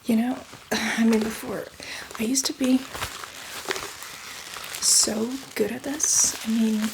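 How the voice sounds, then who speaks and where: A whispering female zombie, played by a woman in an ASMR roleplay, with a fairly high voice over clearly audible background noise. She rambles casually and reassuringly, fussy and apologetic yet eager for blood. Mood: casual, flustered.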